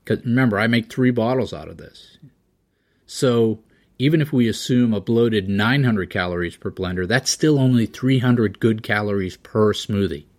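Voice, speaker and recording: A middle-aged man hosting an endurance sports podcast, talking casually and chiming in with quick agreement. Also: lowish voice. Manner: conversational, frequent "yeah" and "right" backchannels. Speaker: podcast host. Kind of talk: casual interview and solo segment.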